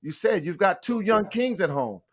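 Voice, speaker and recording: Male podcast host, slightly high voice, casual and talkative. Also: animated, normal speed, crowd noise. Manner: conversational, long wandering questions with slang. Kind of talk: casual interview questions.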